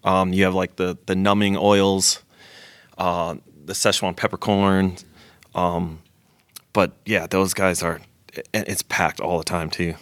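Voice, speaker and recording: A man's voice: deep voice, level tone, takes it slowly, fairly bright tone, dry recording. A man who works as a chef, chatting enthusiastically on a podcast and often repeating words as he starts a sentence. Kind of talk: casual podcast chat.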